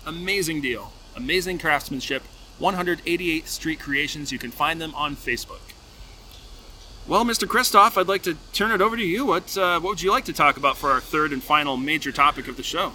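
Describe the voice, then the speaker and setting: A man hosting a podcast who tells animated stories, acts out playful quotes and reads sponsor messages. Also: a little high, a little fast, slight background noise, poor audio. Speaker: podcast host. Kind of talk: casual chat with sponsor reads.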